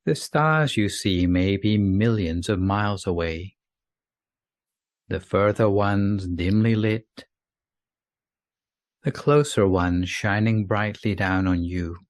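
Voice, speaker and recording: A man with a fairly low voice guides a sleep meditation. He speaks very slowly and gently, leaving long pauses between soothing phrases. The sound is dry and close, with very little room. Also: no room sound.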